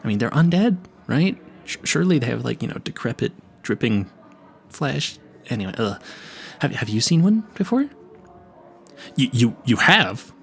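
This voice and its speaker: A man's voice: mid-range voice, big pitch swings, normal speed, creaky, fairly bright tone. A man playing a clueless, earnest vampire hunter in an audio roleplay, stammering and rambling in a politely formal, pleading way. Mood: earnest, nervous. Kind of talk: intimate audio roleplay addressed to the listener.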